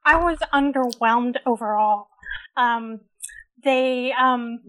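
A woman co-hosting a casual recap show, speaking with a hoarse voice, chatty and opinionated. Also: fairly high pitch, slow, a bit bright, poor audio. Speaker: co-host.

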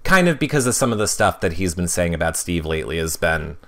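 A man's voice: low-pitched, big pitch swings, fast talker. A man chatting casually as a podcast guest, giving opinions emphatically.

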